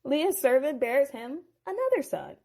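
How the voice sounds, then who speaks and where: Chatty storyteller, woman, unhurried, animated pitch, playful asides. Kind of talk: casual bible story retelling.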